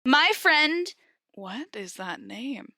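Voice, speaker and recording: A woman's voice: sits high, big pitch swings, slow pace, pushed and bright, no room sound, clean studio sound. A woman co-hosting a comedy podcast, giggly and exclamatory, moving between casual chat and mock-dramatic story reading. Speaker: podcast co-host.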